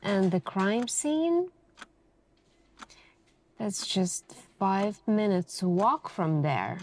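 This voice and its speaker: A suspicious female detective played by a woman questions a suspect in an ASMR roleplay. She talks extremely slowly and deliberately, leaving pointed pauses. Her manner is measured, insinuating and quietly menacing. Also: mid-range voice, lively intonation, exaggerated voice. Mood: probing, skeptical. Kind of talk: slow ASMR roleplay interrogation.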